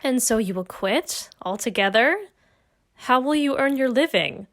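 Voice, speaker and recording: A woman's voice: a little high, lively intonation, a little slow. A woman playing an earnest, pleading young woman in a period tragedy, with formal stage-play diction. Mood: concerned, pleading. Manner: formal, imploring, archaic diction. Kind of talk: stage-play audio drama acting.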